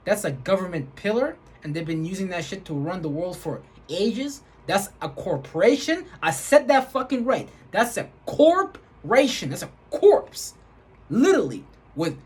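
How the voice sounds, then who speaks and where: Husky man on a rambling spiritual rant. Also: sits high. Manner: rambling, emphatic, heavy swearing. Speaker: vlogger. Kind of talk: profane spiritual rant to camera.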